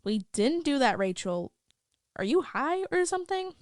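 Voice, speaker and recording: Skeptical teenage student, voiced by a woman, blunt, dismissive, low-key audio drama delivery, close dry sound. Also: big pitch swings, normal speed, no echo. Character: dismissive, puzzled teenage student in a group chat. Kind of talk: acted reading of chat messages.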